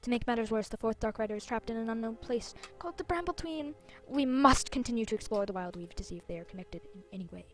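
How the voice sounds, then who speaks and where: A woman's voice: on the high side, lively intonation, fast, few pauses, faint music behind, natural voice. Grave, wise fantasy leader read by a woman. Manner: solemn, formal.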